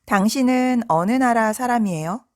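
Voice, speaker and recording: A woman language teacher reading out short scripted example sentences for practice, with careful, measured enunciation. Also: fairly high pitch, a little mellow. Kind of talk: scripted language drill, sentences read out and repeated.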